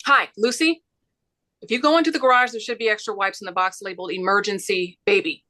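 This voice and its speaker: A woman's voice: mid-range voice, fairly even intonation, fast talker, sharp tone, lightly acted. A woman acting a harried female reporter and working mother, urgent in manner, in a script table read.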